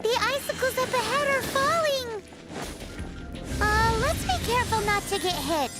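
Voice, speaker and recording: A woman voicing a tiny, childlike fairy companion in a video game, chatty and fussy, with a squeaky delivery. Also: expressive, normal speed. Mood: worried. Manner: squeaky, speaks of self in third person. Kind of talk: animated video game acting. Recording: loud music behind the voice. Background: loud game music.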